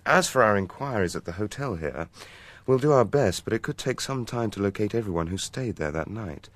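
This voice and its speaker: An actor playing a world-weary, prickly local police officer in a radio drama, sceptical and matter-of-fact. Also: male voice, on the low side, animated, a little fast, natural voice.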